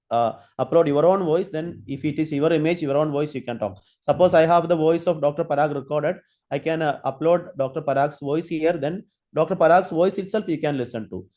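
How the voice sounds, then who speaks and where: A man giving an online training lecture, halting, with many fillers and restarts while he demonstrates. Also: on the high side, warm tone. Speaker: guest lecturer.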